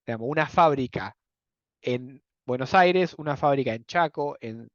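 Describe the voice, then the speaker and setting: A man's voice: mid-range voice, normal speed, a bit bright, no room sound, muffled recording. A young Argentine man teaching an economics class, explaining step by step with many filler words and checks that students follow. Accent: Argentine Spanish. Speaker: economics lecturer. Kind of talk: university lecture.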